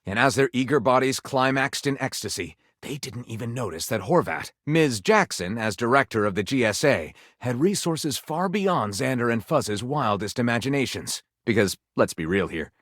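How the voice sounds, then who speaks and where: Game narrator: flamboyant and melodramatic, mid-range male voice with very animated pitch, pompous tongue-in-cheek storytelling, dry close recording. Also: normal speed, hardly any pauses, no echo. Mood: sultry, then grandly dramatic. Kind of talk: theatrical scripted narration.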